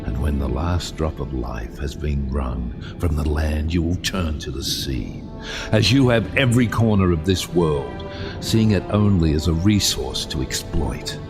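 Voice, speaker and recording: Deep, slow, brooding sea captain. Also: male voice, expressive, over music, full character voice. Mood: grim doom. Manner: grand, theatrical, deliberate oratory dropping to hushed intensity. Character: brooding, bitter, vengeful sea captain condemning mankind. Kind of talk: dramatic audio drama monologue.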